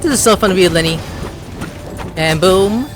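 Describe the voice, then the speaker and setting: A man doing playful, excitable gaming commentary, full of exclamations and called-out moves. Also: sits high, moderate pace. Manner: playful, exclaiming, shouts attack names. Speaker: gameplay YouTuber. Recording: game music behind commentary.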